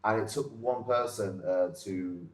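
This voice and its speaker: Northern english bloke w a lowish voice chatting casually, repeats himself a lot, roomy echo. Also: male voice, a little slow. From Salford, north-west England. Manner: repetitive, punctuated with "you know". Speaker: interview guest, independent wrestler. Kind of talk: casual conversational interview. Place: indoors in a pub. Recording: roomy, echoing pub interior.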